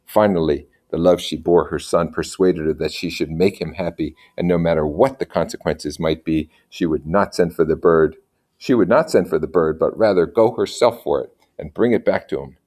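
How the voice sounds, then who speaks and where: Male story reader, fairly low voice, narrating with informal commentary and questioning asides. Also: moderate pace, darkish tone. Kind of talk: story reading with casual asides.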